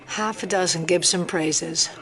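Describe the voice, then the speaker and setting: A woman's voice: lowish voice, measured pace, a bit bright, low-quality recording. An actress playing a wary, saddened female federal agent in a naturalistic, guarded manner for an audio drama. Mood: uneasy disbelief.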